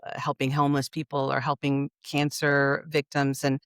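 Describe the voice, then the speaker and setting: A woman with a Californian accent, a guest in a casual interview, talking things through thoughtfully and trailing off into softer asides. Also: a bass voice, completely flat delivery, normal speed, smooth, no echo, studio quality. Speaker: podcast guest, culture strategist.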